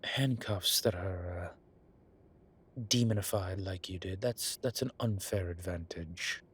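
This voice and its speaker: A man voicing a flirtatious, smitten demon professor in an intimate audio roleplay, husky and breathy, with halting, wry phrasing. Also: low-pitched, fairly bright tone, a little hiss or hum. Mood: playful, teasing. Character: teasing, smitten male incubus demon professor. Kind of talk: intimate one-sided audio roleplay acting.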